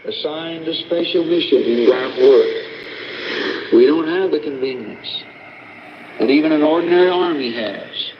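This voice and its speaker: A man with a slightly high voice gives a sermon. He is heard through a shortwave radio, dull in sound and wrapped in clear static. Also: unhurried. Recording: muffled, noisy shortwave radio broadcast.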